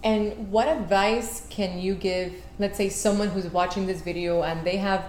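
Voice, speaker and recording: A woman's voice: mid-range voice, fairly even intonation, moderate pace, darkish tone. Rambling woman interviewer, echoey room. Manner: long rambling questions with many restarts. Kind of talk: interview questions. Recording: echoey room with faint noise.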